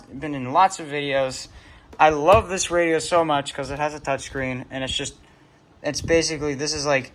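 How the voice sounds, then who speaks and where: A man's voice: mid-range voice, a bit bright. A man giving a casual, unscripted show-and-tell as a hobbyist vlogger, rambling, trailing off and correcting himself as he goes. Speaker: hobbyist vlogger presenting a radio collection.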